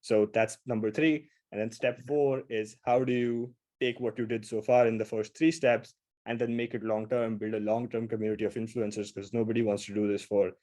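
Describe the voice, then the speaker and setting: A man's voice: fairly low pitch, flat delivery, dark tone. A man with an Indian accent from India, giving an upbeat, casual webinar presentation over a video call. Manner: upbeat, quick and casual, with frequent fillers.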